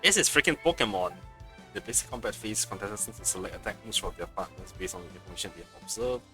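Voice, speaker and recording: A man's voice: on the low side, expressive, fast talker. A man giving casual let's play commentary as a game streamer, blunt and sardonic, sometimes reading game text aloud. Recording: game music behind voice.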